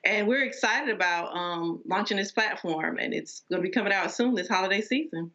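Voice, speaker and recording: A woman with a medium-pitched voice answers as an interview guest. She is hesitant and pauses often, and her audio sounds slightly dull and lo-fi. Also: fairly bright tone. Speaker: animation entrepreneur, interview guest.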